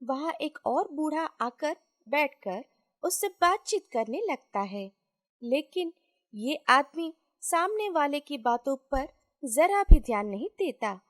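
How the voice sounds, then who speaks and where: A woman storyteller reading a scripted moral tale in a measured, deliberate way. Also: high-pitched, very animated, a bit bright.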